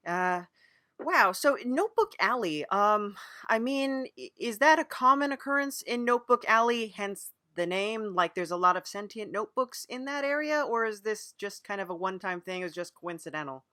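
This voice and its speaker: A woman with a medium-pitched voice hosts a casual comedy podcast interview. She asks her questions hesitantly and with a straight face. The recording is close and dry. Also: animated, normal speed, pushed and bright, no echo.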